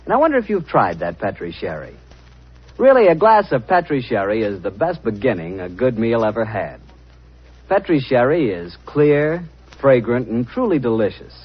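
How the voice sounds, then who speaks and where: A man's voice: medium pitch, big pitch swings, normal speed, slightly rough. A man with an American accent announcing in 1940s radio style, with a folksy salesman's manner. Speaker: radio announcer and sponsor pitchman. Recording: dull 1940s radio recording.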